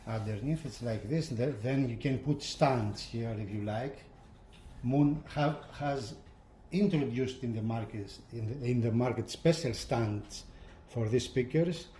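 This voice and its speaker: Male reviewer, relaxed tone, slow and halting, off-the-cuff walkthrough style. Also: medium pitch, a little hiss or hum. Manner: halting, hesitant delivery with repeated phrases. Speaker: product reviewer and presenter. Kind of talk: casual unscripted product walkthrough.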